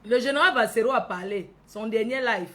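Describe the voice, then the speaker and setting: A woman's voice: fairly high pitch, fairly quick, fairly bright tone. A woman from Cameroon with a Cameroonian accent hosting a casual livestream, addressing followers by name, repeating phrases and tagging on questions. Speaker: livestream host.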